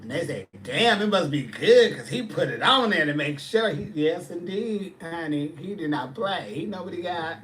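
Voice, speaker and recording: A man's voice: a little high, expressive, normal speed, few pauses, a bit bright, slight background noise. A man, chatting casually on a livestream and answering viewers' comments with sass. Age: 40s. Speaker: livestream host.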